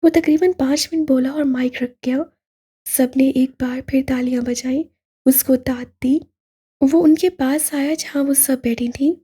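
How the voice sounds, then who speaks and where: A woman narrating a romantic novel as a scripted audio story, in a soft and very breathy voice. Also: high voice, steady tone, measured pace.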